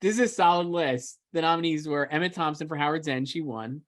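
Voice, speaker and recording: A man's voice: a little high, fairly quick. A man co-hosting a casual entertainment discussion, giving emphatic, opinionated takes in an enthusiastic manner. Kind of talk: casual film awards chat.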